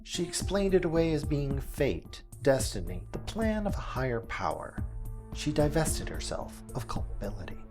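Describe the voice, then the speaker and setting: A man playing an earnest small-town radio host, delivering a scripted monologue deliberately, with dramatic pauses and emphasis. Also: a little high, big pitch swings, over music. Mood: somber storytelling.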